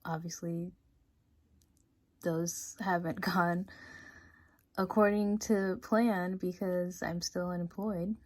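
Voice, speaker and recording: A woman in her twenties chatting casually in a personal vlog, full of "like" and "um", sometimes dropping to a soft, hushed tone. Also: on the low side, steady tone, slow pace, long pauses, no room sound. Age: 20s. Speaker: vlogger. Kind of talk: casual confessional vlog chat.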